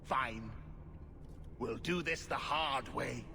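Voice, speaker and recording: A man's voice: mid-range voice, slow, vocal fry. An actor playing a vengeful, menacing male thug in a fantasy video game, bitter and threatening. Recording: noisy, long hall reverb.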